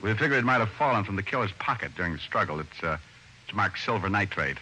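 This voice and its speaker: A man's voice: fairly low pitch, lively intonation, fast talker, bright, forceful tone, breathy edge, exaggerated voice, rough recording. An actor playing a wry, flippant male private detective, speaking and narrating in an old radio drama. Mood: measured, wry.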